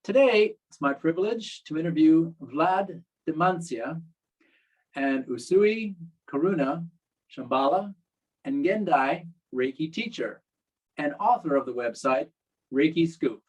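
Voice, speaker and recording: A man hosting an interview, polite and measured, with occasional fillers. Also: fairly high pitch, expressive, low-quality recording. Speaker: interview host.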